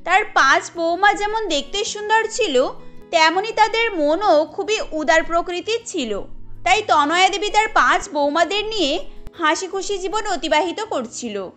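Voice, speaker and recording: Woman narrating a cartoon family story, high voice, music underneath. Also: expressive, unhurried, a bit bright.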